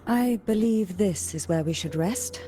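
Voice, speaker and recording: A woman playing a poised, mysterious noble matriarch in a video game, speaking in a measured, elegant, coy manner. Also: mid-range voice, natural voice. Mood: calm, grave.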